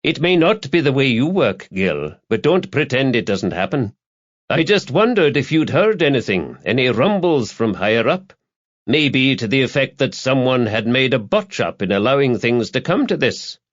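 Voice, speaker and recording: A man's voice: mid-range voice, very animated, moderate pace, lo-fi sound, voice pushed up. A man voicing a sly newspaper reporter in an audiobook, needling and insinuating as he fishes for information in a conversational way. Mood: pressing.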